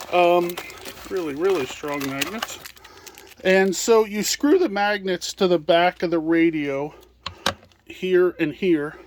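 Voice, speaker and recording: A man's voice: high voice, slight background noise. A man presenting products in an informal walkthrough, explaining hands-on as he handles items.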